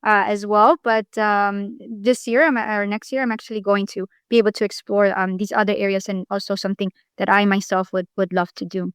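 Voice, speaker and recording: A woman from Mexico answers as a podcast guest, her voice smooth and resonant. She sounds earnest and reflective, often restarting her sentences as she thinks aloud. The recording is dry and close. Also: medium pitch, flat delivery, fairly quick, hardly any pauses, no room sound. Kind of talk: podcast interview.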